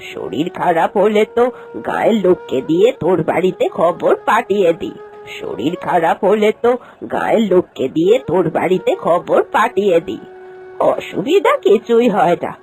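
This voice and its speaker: A woman's voice: on the low side, sing-song, moderate pace, darkish tone, faint music behind. A woman playing a kindly, practical elderly village aunt in a moral-story cartoon, with a homely, advising manner. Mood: earnest advising.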